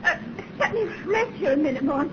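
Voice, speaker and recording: Radio heroine: high voice, anxious yet plucky captive, earnest dramatic pleading, muffled noisy vintage sound. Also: female voice, expressive, a little fast. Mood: fearful questioning. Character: brave, anxious captive young woman, quick-witted. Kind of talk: 1930s radio drama acting.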